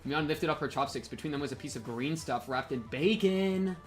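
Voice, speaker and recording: A man's voice: a little high, brisk. A young man giving casual, slangy, goofy commentary on a game and reading it aloud. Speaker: game streamer. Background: game music.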